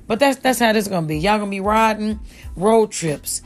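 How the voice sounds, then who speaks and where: A woman with a raspy voice hosting her own podcast from home, rambling casually and full of slang. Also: mid-range voice, brisk, faint music behind. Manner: slangy, chatty, addresses listeners as y'all. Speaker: podcast host.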